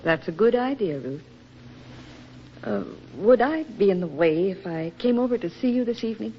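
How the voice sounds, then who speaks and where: An actress playing a motherly, gently consoling older female friend in a 1940s radio drama, speaking expressively. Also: medium pitch, lively intonation, moderate pace, husky. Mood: kindly. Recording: muffled vintage radio recording with music.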